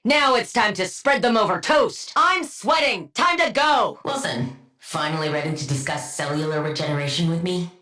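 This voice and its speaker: A woman's voice: lowish voice, moderate pace, pushed and bright. An actress voicing a brainy, exacting woman scientist supervillain for a video game, precise and nerdy, with a dry sardonic edge. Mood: low-key, wry. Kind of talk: video game combat barks and banter.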